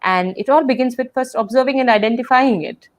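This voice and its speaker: A woman's voice: a little high, brisk. A woman hosting a webinar on a video call, speaking thoughtfully, with many fillers and restarts. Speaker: webinar host. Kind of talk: casual webinar conversation.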